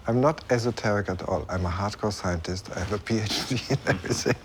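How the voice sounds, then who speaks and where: Deep-voiced man being interviewed. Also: fast, background noise. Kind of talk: conversational interview.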